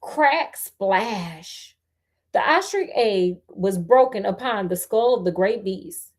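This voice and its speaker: A woman's voice: mid-range voice, lively intonation, slow pace. An American woman reading a storybook aloud as host, with sassy, conversational asides to her listeners. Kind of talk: storybook read-aloud with casual running commentary.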